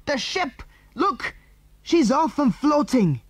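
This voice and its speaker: A man voicing a bold, excitable boy in a storybook, giving urgent orders to his friends. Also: sits high, a little fast, no echo, voice pushed up, close to an everyday voice. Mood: excited shouting. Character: bold, excitable boy leader of stranded children.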